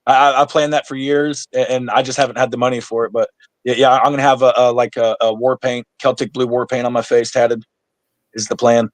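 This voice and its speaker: A man's voice: mid-range voice, flat delivery, brisk, no room sound. A man of thirty-nine, a podcast guest in casual chat, blunt and swearing freely, stumbling over restarts. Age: 30s. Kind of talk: casual conversation.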